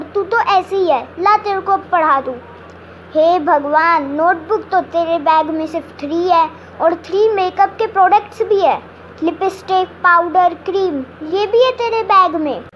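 A scolding mother, played by a young girl, nags and exclaims in exasperation. The voice is very high, in a homemade skit with slight noise. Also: lo-fi sound. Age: child, under twelve. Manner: nagging, exclaiming.

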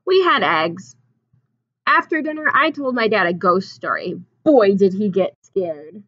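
A woman in her twenties reading a picture book aloud like a teacher, expressively, pausing to put simple questions and explanations to young children. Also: mid-range voice, lively intonation, fairly bright tone, muffled recording. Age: 20s. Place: small classroom, indoors.

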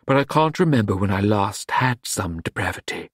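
A man's voice: on the low side, lively intonation, normal speed, breathy edge, dry recording, studio quality. Audiobook casting: worldly, indulgent madam, male narrator performing, grand, languid and persuasive. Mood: mock indignant.

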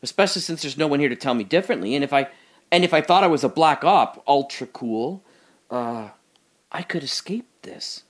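A man's voice: fairly high pitch, expressive, fairly quick. A man playing a prisoner musing alone in a cell. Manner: musing, questioning, wavers between wry and earnest. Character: man alone in a holding cell, doubting his memories. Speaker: lead actor in an audio drama. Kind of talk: audio drama monologue.

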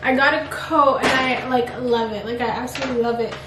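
Young woman, high voice, casual vlog chat, gushing, noisy echoey recording. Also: steady tone, brisk. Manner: gushing, filler-heavy "like" speech. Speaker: vlogger. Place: indoors at home, echoey room. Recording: echoey room, far from mic, noisy.

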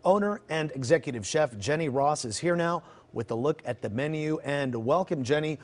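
A man's voice: a little high, expressive, moderate pace. A middle-aged man anchoring a TV news segment in a studio, polished and agreeable as he prompts his guest, on a lapel mic.

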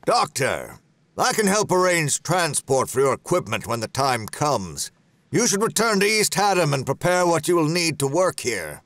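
Pushy company boss, kinda high voice that swings around a lot, dry close sound. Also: male voice, big pitch swings, moderate pace, fairly bright tone, no room sound. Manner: controlling, insistent. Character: smooth commanding male pharma executive. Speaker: corporate boss. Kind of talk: audio drama acting.

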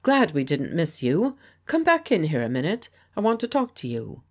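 A woman reading the part of a pleasant, confident gentleman painter who speaks with quiet authority. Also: deep voice, expressive, moderate pace. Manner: pleasant, firm, persuasive. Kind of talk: audiobook character voice.

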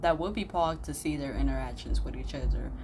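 A young woman host giving casual, slangy, halting reaction commentary that often repeats itself. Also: a bass voice, warm tone. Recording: noisy room recording.

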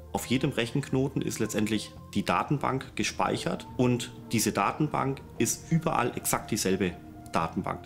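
A man's voice: fairly low pitch, vocal fry. A man in his forties explaining things as an expert interviewee.

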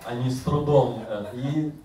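A man in his thirties doing a self-mocking, deadpan stand-up routine on a bar stage. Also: mid-range voice, measured pace, far from the mic, crowd noise. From Siberia. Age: 30s. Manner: deadpan, self-mocking, acts out other voices. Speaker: stand-up comedian. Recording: roomy live bar recording from afar.

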